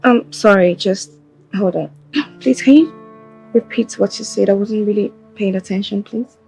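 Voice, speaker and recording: A woman's voice: medium pitch, measured pace, vocal fry, darkish tone, soft background music, low-quality recording. A woman acting a proud, scornful, hot-tempered young princess in a screen drama, with a Nigerian accent. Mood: hesitant.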